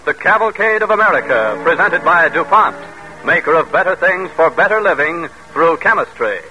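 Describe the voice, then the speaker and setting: A man's voice: a little high, lively intonation, moderate pace, over loud music, rough recording. A man announcing and narrating a radio drama in formal, declamatory 1940s style. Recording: vintage radio broadcast.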